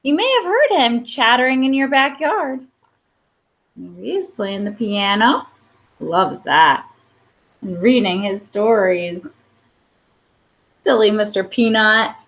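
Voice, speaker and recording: Lively high-voiced woman reading a storybook. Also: slow pace, long pauses. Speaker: storybook reader. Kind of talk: picture-book read-aloud with brief asides. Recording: dull, lo-fi sound.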